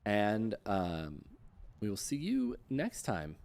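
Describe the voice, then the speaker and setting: A man hosting a casual online interview show about tabletop games, speaking in a halting, hesitant way. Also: on the low side, big pitch swings, darkish tone.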